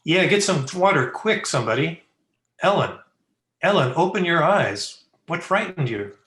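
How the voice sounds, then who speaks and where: A man acts an excitable, wisecracking young fellow in an old-time radio drama. His mid-range voice moves widely up and down, and the recording has a roomy echo. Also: on the high side, moderate pace. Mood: alarmed. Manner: sarcastic, excitable.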